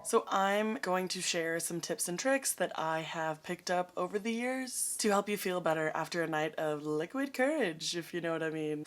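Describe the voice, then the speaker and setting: Raspy-voiced young woman vlogger. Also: medium pitch. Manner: chatty, self-mocking, upbeat. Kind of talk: casual how-to vlog.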